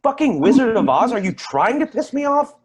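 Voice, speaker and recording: An actor playing a rattled, defensive man giving halting, emphatic testimony in a dramatic staged reading. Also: very high-pitched, sing-song, husky, exaggerated voice. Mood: irritated. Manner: halting, emphatic, repeats himself. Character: rattled, defensive man testifying about an eerie deer encounter.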